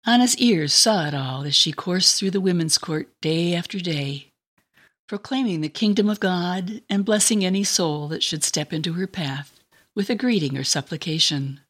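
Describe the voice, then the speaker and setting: A woman reading a scripted dramatic narration in an expressive, storybook manner. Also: on the low side.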